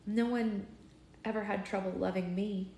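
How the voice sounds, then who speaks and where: A woman's voice: medium pitch, steady tone, moderate pace, creaky, warm tone, lightly acted. A woman voicing a bold, proud heroine from a storybook, earnest and dramatic. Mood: cool, dismissive. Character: bold, proud young heroine standing up to villain. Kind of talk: expressive storybook reading. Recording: roomy echo, faint background noise.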